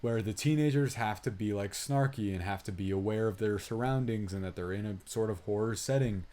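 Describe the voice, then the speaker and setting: A man's voice: lowish voice, fairly quick, dark tone. A man co-hosting a film review podcast in a casual, slangy chat, telling things animatedly and quoting reactions in asides.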